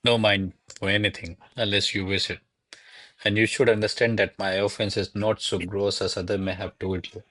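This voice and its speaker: Courtly low-voiced servant, acted by a man. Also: flat delivery, normal speed, bright, forceful tone, dry recording. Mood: deferential, defensive. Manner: formal, florid, deferential. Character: courtly, smooth-talking male servant, ardent and deferential. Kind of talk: stage-style radio play acting of classical verse.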